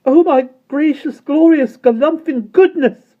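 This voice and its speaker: Storybook role: giggly old gentleman, raised high bubbly voice, done by a man reader, dull lo-fi recording. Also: a little slow. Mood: laughing. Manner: exclaiming, breathless with mirth. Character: jolly old gentleman, giggly and warm-hearted.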